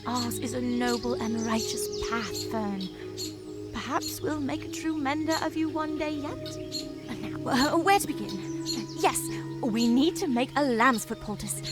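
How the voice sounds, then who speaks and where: A woman's voice: fairly high pitch, animated, a little slow, over loud music. A woman voicing a prim, fussy female rabbit healer in a fantasy game, chatty and lecturing with a condescending manner. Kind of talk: visual novel voice acting.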